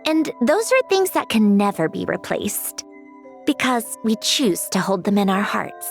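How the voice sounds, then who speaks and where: A woman's voice: mid-range voice, big pitch swings, measured pace, creaky. A woman acting an aloof, sardonic young woman in a video game, guarded and matter-of-fact. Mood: softened, sincere. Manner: wry, dismissive, matter-of-fact. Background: music.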